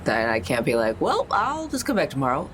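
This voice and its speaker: A woman's voice: a bass voice, huge pitch swings, brisk, background noise. A woman bantering casually as a player in a tabletop role-playing game.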